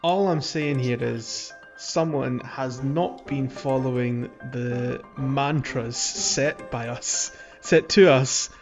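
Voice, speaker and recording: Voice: mid-range voice, slow, few pauses, faint music behind. Podcast host, takes their time talking, chatting games, bit of a dull mic. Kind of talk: casual podcast chat about a video game. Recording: slightly dull, lo-fi microphone.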